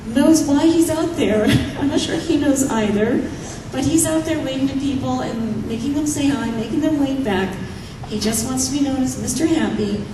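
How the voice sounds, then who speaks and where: A woman with a low voice, chatting through anecdotes to an audience in a room and laughing often as she talks. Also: normal speed, few pauses. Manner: chatty, laughs often while telling stories. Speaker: newspaper writer giving a talk. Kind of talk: casual anecdotal speech to an audience. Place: event room. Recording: echoey room, from a distance, noisy.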